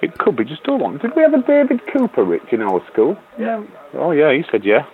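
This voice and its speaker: A man's voice: a little high, wildly swooping pitch, brisk. A middle-aged man with a North Yorkshire accent, chatting informally and rambling a little down a tinny telephone line. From Scarborough area, North Yorkshire, England. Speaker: interviewee called by phone. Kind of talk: casual reminiscing chat. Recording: tinny narrowband phone line.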